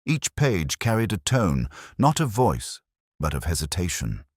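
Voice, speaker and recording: A man reading a scripted first-person detective story in a measured, literary, brooding manner. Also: deep voice, huge pitch swings, no echo, studio quality. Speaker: narrator.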